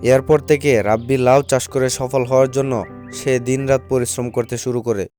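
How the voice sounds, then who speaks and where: Male narrator for a cartoon tale, average pitch, scripted storytelling over a light music bed. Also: fairly even intonation, moderate pace. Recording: faint music behind the voice.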